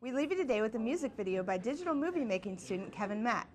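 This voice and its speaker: A woman's voice: on the high side, sing-song, very fast, over loud music. A woman hosting a college TV show, reading scripted presenter links. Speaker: TV show host.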